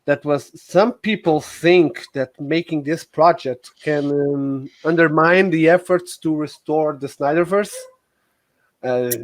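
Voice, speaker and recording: A man hosting a livestream, putting questions to a guest in a casual, halting way. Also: a little high. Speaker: livestream host, interviewer. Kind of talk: casual interview chat.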